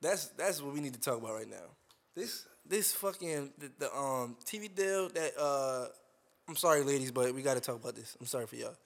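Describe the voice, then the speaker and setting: A man's voice: mid-range voice, brisk, vocal fry. A young man co-hosting a casual chat, slangy, swearing, and full of "uh" and "um". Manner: slangy, heavy fillers and swearing. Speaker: podcast co-host.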